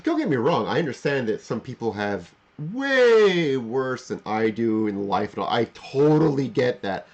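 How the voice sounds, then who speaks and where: A man in his forties thinks out loud on a livestream. His pitch moves up and down a lot, and his voice has a husky edge. He speaks in halting, emphatic bursts, circling back to rephrase what he just said. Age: 40s. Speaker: livestream host. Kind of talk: unscripted reflective monologue to live chat.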